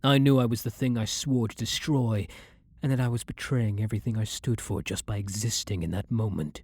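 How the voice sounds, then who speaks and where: A man's voice: on the low side, steady tone, fairly quick, a little mellow, husky, dry recording. A man playing a centuries-old vampire hunter who is himself a vampire, blunt and brooding, in a dramatic ASMR roleplay monologue. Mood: haunted.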